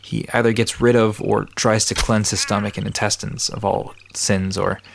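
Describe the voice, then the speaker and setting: Low-voiced, dry, guarded park caretaker, played by a man. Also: level tone, normal speed, gravelly, a little hiss or hum. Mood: dry, explanatory. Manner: dry, matter-of-fact, guarded. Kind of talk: audio drama dialogue, explaining mythology.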